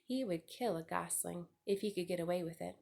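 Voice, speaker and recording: A woman's voice: on the low side, brisk, gravelly, darkish tone. A woman narrating a children's story as a read-aloud. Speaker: storybook reader.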